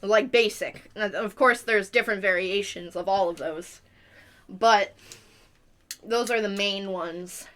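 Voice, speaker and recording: A woman's voice: medium pitch, a little slow, fairly bright tone. A young adult woman chatting casually as a live streamer, explaining things in a rambling way. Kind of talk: casual chat.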